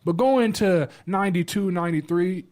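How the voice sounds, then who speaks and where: A man's voice: sits high, normal speed, darkish tone. A man chatting casually as a podcast guest, opinionated and slangy, pressing his points with emphasis.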